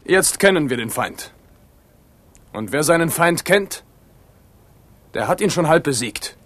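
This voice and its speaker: Young king of radio drama speaks in a high, highly animated voice. He is theatrical and commanding, mocking his foes yet inclined to mercy. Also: a little slow. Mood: resolute. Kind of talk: German radio play acting.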